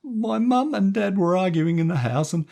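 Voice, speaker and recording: A man's voice: lively intonation, normal speed, gravelly, dark tone, full character voice. A man doing a scared, stammering young boy. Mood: pleading. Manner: stammering, tearful, high.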